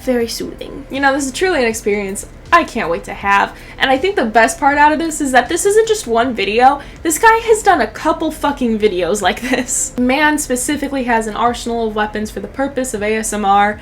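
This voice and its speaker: A young woman with a high voice reacts casually to videos. She jokes and swears freely in animated slang. There is some noise behind her. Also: brisk, hardly any pauses. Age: young adult. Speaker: YouTube commentator.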